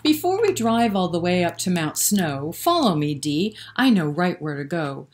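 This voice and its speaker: A woman's voice: fairly low pitch, sing-song, moderate pace. A woman reading the lines of an eager, polite, cheerful man in a children's storybook. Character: Mister McGee, cheerful, eager man with a little dog.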